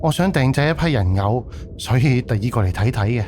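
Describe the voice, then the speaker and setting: A man's voice: mid-range voice, animated, brisk, darkish tone, faint music behind, lightly acted. A man playing a polite, earnest young haunted-house owner in a Cantonese audio drama, speaking conversationally. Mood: businesslike.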